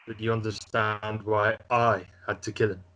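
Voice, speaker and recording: Bright, forceful stern lord acted by a man. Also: fairly low pitch, flat delivery, normal speed, a little hiss or hum, low-quality recording. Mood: grave, stern. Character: stern, grave lord and father. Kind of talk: amateur script table read.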